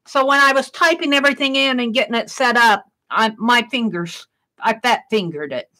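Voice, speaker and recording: A middle-aged woman hosting an art livestream, chatting casually with a loud, projected voice while she works and reads chat comments. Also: on the high side, moderate pace.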